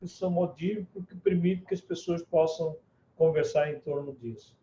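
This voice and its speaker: Middle-aged guest from Mozambique; measured, formal delivery, warm low timbre, roomy lo-fi call audio. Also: male voice, steady tone. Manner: formal and measured, building points in lists. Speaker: guest, book publisher. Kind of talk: interview answers. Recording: echoey room, dull lo-fi sound.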